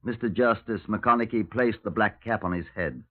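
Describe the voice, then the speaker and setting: An actor playing a stern, formal police detective in a 1950s radio drama. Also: male voice, lowish voice, moderate pace, natural voice. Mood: grave, factual. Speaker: narrator and detective. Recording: tinny narrow-band 1950s radio.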